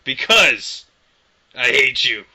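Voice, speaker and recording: A man's voice: mid-range voice, big pitch swings, slow pace, sharp tone. A man co-hosting a casual podcast, teasing and swearing, a little under the weather. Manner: profane, teasing banter. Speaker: podcast co-host. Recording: dull lo-fi podcast audio.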